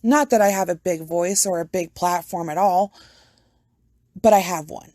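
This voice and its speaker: A young adult woman with a fairly low voice talks in a loose, earnest, rambling way, now and then swearing casually. The sound is dry and close, with no room in it. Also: moderate pace, warm tone, no echo. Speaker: podcast host. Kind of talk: casual personal talk to camera.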